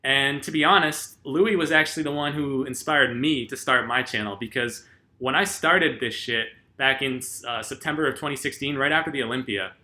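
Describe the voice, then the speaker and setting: This is a man chatting through viewer questions in a relaxed vlog. His voice is strikingly bright and cutting at an ordinary pitch. He wanders through his answers with frequent fillers and an easy, self-deprecating manner. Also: normal speed. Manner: rambling, lots of ums and uhs; self-deprecating and laid-back. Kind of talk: casual Q&A vlog talk.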